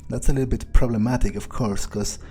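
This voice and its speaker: A man thinking aloud in character as he plays a video game, muttering deliberately and swearing now and then. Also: medium pitch, steady tone, dark tone. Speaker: gamer doing an in-character playthrough.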